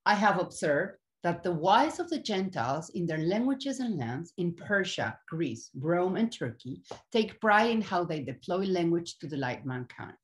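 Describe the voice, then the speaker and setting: A proud, regal allegory of Wisdom , acted by a woman, declaiming a stage monologue. The delivery is grandiose and highly animated, in a lowish female voice. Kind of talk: theatrical monologue from a translated Renaissance comedy.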